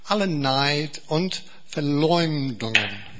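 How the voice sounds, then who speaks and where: An Englishman preaching in German, a second language learned alongside his native English, speaking slowly and earnestly with many repetitions and restarts. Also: a little high, expressive, lo-fi sound, background noise. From England. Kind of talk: sermon.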